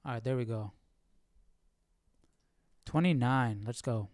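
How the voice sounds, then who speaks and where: A man's voice: mid-range voice, slow pace, a little mellow, dry recording, studio quality. A man hosting a livestream, informally thinking aloud as he comments on a Bible reading. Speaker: livestream host.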